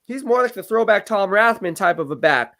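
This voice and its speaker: A man with a high, bright-sounding voice runs a football live stream. He talks quickly and energetically, piling up fillers and repeated phrases as he breaks down plays. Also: gravelly. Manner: energetic, fast-talking, full of fillers and repeats. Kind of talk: casual sports analysis livestream.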